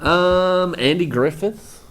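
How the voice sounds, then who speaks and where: A man's voice: high-pitched, takes it slowly, fairly bright tone. A man co-hosting a live stream in casual chat, laid-back and laughing, with lots of ums.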